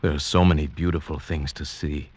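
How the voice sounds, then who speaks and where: An actor playing an earnest, dreamy outsider in love, in mid-century radio drama style with poetic, theatrical delivery. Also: male voice, rumbling low, steady tone, fairly quick, slightly rough. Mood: pleading. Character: lovestruck sighted outsider man, village slave, earnest and dreamy. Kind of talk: 1940s-50s radio drama acting, with first-person narration. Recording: old radio drama, music underneath.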